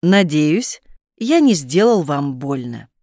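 A woman reading short phrase translations aloud for a language lesson in a clear, measured way. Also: sits low, very animated, a little mellow, no room sound, studio quality. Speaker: phrasebook reader.

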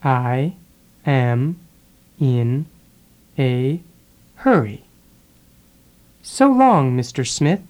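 This voice leads an English lesson at a very slow pace, spacing every word apart so learners can follow. Its pitch sits in the middle range, and its delivery is careful and deliberate. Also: sing-song, a little mellow. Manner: deliberate, pausing after each word. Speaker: English teacher. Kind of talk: slow drill of phrases, repeating word by word.

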